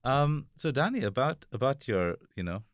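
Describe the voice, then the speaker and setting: A man hosting a podcast, introducing the episode and his guest in a relaxed, friendly way with frequent ums. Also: medium pitch, moderate pace, dry recording, clean studio sound. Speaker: podcast host. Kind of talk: casual podcast conversation.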